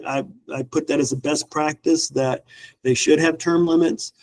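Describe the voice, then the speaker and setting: Casting: man in his fifties, stuffy and a little rough, fairly high voice, relaxed webinar presenter full of fillers. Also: normal speed, low-quality recording. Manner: relaxed, many ums and uhs. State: stuffy, recovering from illness. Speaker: library director presenting training. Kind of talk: webinar lecture.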